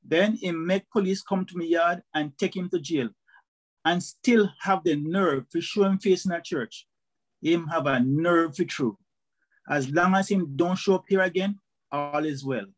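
A man's voice: fairly high pitch, unhurried, poor audio. An actor playing a bitter, grumbling husband and father with a Jamaican accent in a radio drama. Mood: bitter, indignant.

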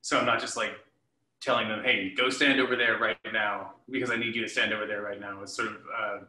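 A man in his thirties discusses his work casually as a panel guest. His voice is a bit low yet intensely bright and cutting. It comes over a video call with a strong room echo. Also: fairly even intonation, fairly quick. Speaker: interviewed theatre director and performer. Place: living room at home, indoors. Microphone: computer mic at a short distance, video call. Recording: roomy, echoey home video call.

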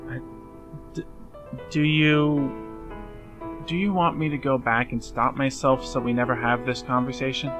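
A man's voice: medium pitch, steady tone, a little slow, pauses often, music in the background, lightly acted. A man playing an anxious, self-blaming young man in a fan dub drama, speaking earnestly and hesitantly. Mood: hesitant, guilty.

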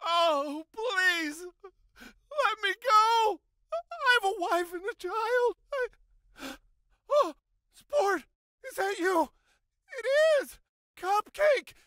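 An actor playing a middle-aged, high-strung American suburban dad in an animated comedy game, foul-mouthed and falsely cheery. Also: male voice, very slow, pauses often, no room sound, studio quality, full character voice. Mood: panicked, pleading. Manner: swings between sugary pet names and shrill cursing.

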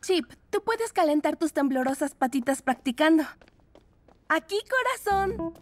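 A woman's voice: high-pitched, animated, measured pace, noisy background. A woman voicing a kindly, bustling meerkat piano teacher in a preschool cartoon, with an encouraging, singsong delivery. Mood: reassuring. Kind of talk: preschool cartoon dub acting. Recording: music behind the voice.

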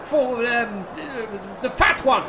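A woman playing an excitable, scornful medieval match commentator with folksy turns of phrase, in a spoof of sports commentary. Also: on the high side, slow pace, heavy background noise. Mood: excited.

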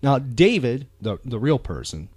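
A male podcast host talking casually and earnestly, with a lively, swinging pitch. He halts and repeats words as he works through his thoughts. The recording is close and dry. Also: unhurried, slightly rough, no room sound. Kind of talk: casual talk show monologue.

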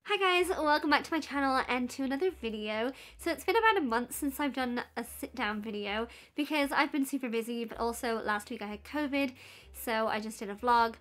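A woman in her twenties with a soft Irish accent, chatting casually to camera in a sit-down vlog, giggly and full of fillers. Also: fairly high pitch, brisk. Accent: Irish, softened by years in London. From Ireland and Belgium. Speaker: YouTuber. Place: bedroom at home.

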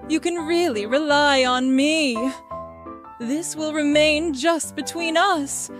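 A woman's voice: high voice, slow pace, over music. A woman voicing a naive, eager little pony filly in a cartoon comic dub. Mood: eager, reassuring.

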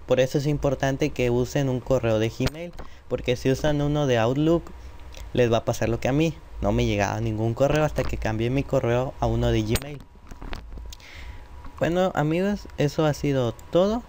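A man's voice: medium pitch, a little mellow. A man narrating an informal screen-recorded tutorial step by step, addressing viewers directly. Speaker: tutorial presenter. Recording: noisy home computer microphone recording.

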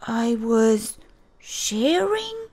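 A woman's voice: a little high, big pitch swings, drawn-out words, a little mellow, slightly rough, pitched above the performer's own voice, exaggerated voice. A woman voicing a boisterous young boy shark in a children's storybook, exclaiming dramatically and sounding easily confused. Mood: defensive.

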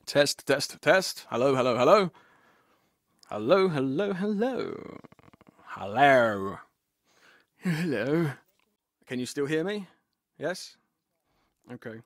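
A man's voice: a little high, dry recording. A man joking and testing the mic between takes in a studio voice session. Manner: playful, animated, mic-testing banter. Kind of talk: game voice session outtakes. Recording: dry studio.

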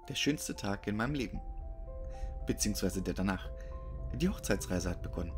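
A man's voice: on the low side, expressive, normal speed. A man narrating a scripted horror story. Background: music.

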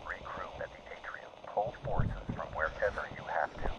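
Man playing muffled low-voiced soldier under attack. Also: wildly swooping pitch, moderate pace, creaky, background noise, heavily acted. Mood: urgent, alarmed. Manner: terse, pressured. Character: urgent soldier calling orders under attack. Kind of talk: dramatic audio roleplay.

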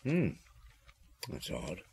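A man's voice: very slow, darkish tone, a little hiss or hum. A man acting as a shrewd middle-aged chief inspector in a radio drama, questioning tersely with thoughtful grunts. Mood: puzzled, intent.

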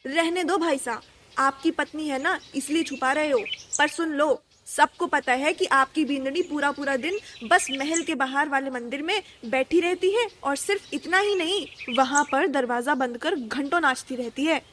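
A woman's voice: high-pitched, moderate pace, fairly bright tone, a little hiss or hum. A woman playing a gossipy, taunting sister in a royal household, insinuating and scornful, in a radio drama. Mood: scornful, warning. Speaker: actor in a student radio drama.

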